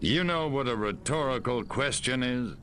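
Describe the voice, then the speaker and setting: An actor playing an impatient, secretive government agent in a video game, brisk and sardonic. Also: male voice, mid-range voice, animated, fairly bright tone, faint music behind, lightly acted. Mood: exasperated. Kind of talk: video game cutscene acting.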